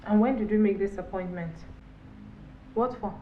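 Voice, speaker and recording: A woman's voice: medium pitch, normal speed, reverberant, narrow, tinny audio, slight background noise, lightly acted. An actress playing a weary, aggrieved wife who stands her ground, in a naturalistic screen drama. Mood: guarded.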